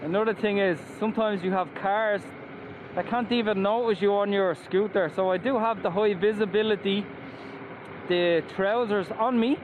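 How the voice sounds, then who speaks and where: A man's voice: high-pitched, normal speed. Enthusiastic Irish man riding a scooter. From Ireland. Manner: enthusiastic, chatty, whoops while riding. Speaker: scooter reviewer. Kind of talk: casual ride-along vlog commentary. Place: outdoors on a moving scooter by roads. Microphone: wearing a helmet and mask. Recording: outdoor road noise. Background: traffic and wind.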